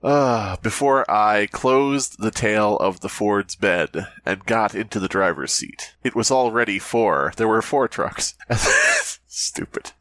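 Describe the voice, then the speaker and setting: Lively laughing man, dry sound. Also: on the low side, slow pace, hardly any pauses, dry recording. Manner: lively, cracks himself up laughing and swearing. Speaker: podcast co-host reading a story aloud. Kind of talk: casual chat and reading aloud.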